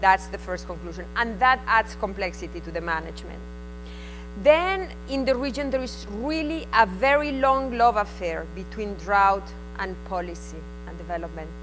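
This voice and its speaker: A Spanish-accented woman presenting to a conference audience at a middling pitch. She sounds frank and conversational, and her delivery comes in short bursts between pauses. Faint music sits under her voice. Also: fairly bright tone, poor audio. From Spain. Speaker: invited conference speaker. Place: conference hall.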